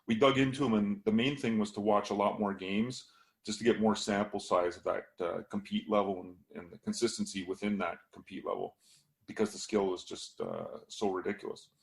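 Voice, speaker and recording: A man talking through a casual hockey podcast analysis as a scout, a bit hoarse from losing his voice, with many fillers. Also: on the low side, fairly even intonation, fairly quick.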